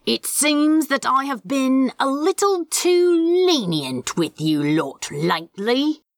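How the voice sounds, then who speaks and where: A woman voicing a menacing male demon who scolds with scornful, threatening, drawn-out emphasis in a fan fiction audiobook. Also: high-pitched, very animated, no room sound, clean studio sound, pitched above the performer's own voice, full character voice.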